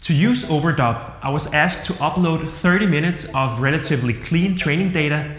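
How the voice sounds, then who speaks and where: A man in his thirties with a Danish accent reviewing software to camera in an upbeat, plain-spoken way. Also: a little high, normal speed. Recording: roomy hall-like echo with soft music.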